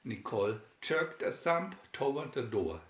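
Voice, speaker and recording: A man's voice: medium pitch, sing-song, measured pace. A man reading a children's booklet aloud as scripted story narration. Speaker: booklet reader. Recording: dull, roomy home recording.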